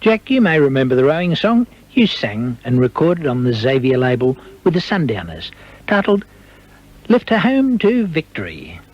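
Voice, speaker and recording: A man's voice: mid-range voice, huge pitch swings, moderate pace. A man conducting an archival radio interview as the interviewer. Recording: dull, band-limited old tape.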